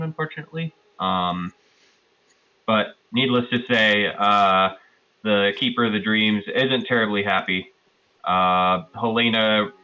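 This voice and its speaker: Low, bright-voiced man recapping hesitantly. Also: dull audio. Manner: hesitant, loosely recalling, hedging. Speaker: player in a tabletop roleplaying game. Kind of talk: casual spoken recap of a game session.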